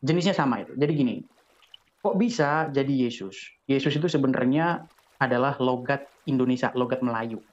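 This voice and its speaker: A man's voice: mid-range voice, brisk. A man in a heated online debate, arguing insistently and pressing his case. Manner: argumentative, insistent. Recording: dull lo-fi audio.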